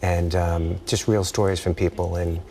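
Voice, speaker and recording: Man, American accent, very deep pitch, casual interview guest, rambling, trailing off, some background noise. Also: little pitch movement, normal speed, dark tone. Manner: rambling, trails off mid-thought.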